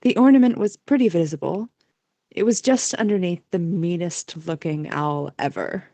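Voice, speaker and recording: A woman's voice: on the low side, normal speed, dark tone, no room sound. A woman reading scripted story narration as the narrator of an amateur audio drama.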